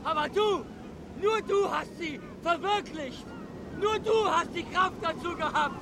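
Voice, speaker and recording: Bitter villager shouting over roaring storm. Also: male voice, very high-pitched, a little slow. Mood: scornful admiration. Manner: declamatory, taunting, breaking into shouts. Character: bitter, accusing North Frisian villager, rival of the dikemaster. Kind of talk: radio drama acting. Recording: very loud roaring storm sea noise.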